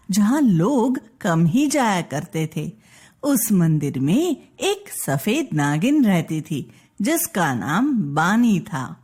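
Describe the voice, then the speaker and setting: A woman's voice: mid-range voice, a little mellow, slightly rough. A woman reading a scripted children's bedtime story as narrator.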